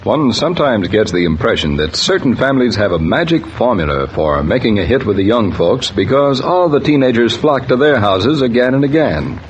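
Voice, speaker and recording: A genial man announcing and telling stories in a measured, folksy 1940s radio style. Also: mid-range voice, very animated. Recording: dull, noisy old radio transcription.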